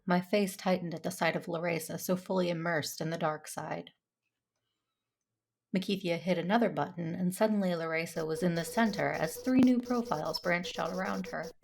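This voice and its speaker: A woman's voice: lowish voice, creaky, slight background noise. A woman playing a reserved, dutiful Jedi who narrates a sci-fi audio drama in the first person.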